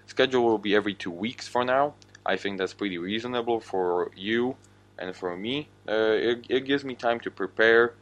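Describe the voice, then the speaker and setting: A man's voice: fairly low pitch, normal speed, a bit bright, highs cut off. A young man from Slovakia, a native Slovak speaker with a Slovak accent, hosting his own podcast in a casual monologue and swearing freely. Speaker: podcast host.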